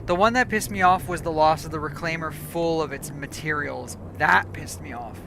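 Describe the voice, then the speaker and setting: A woman's voice: low voice, normal speed, vocal fry, noisy background. A woman streaming a game, chatting casually and rambling, correcting herself as she goes, her voice starting to go. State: losing her voice. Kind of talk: casual live gameplay chat.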